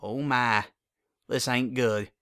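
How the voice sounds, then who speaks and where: A man's voice: mid-range voice, fairly even intonation, takes it slowly, a bit bright, clean tone. A man voicing a plain-spoken, practical country farm mare, caring and firm, with a folksy, blunt manner. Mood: grave, urgent.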